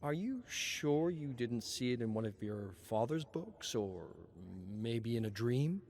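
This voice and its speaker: A man voicing a gentle, worried mother soothing her child in a dramatic story reading. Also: mid-range voice, animated, slow, a little hiss or hum. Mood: gentle, concerned.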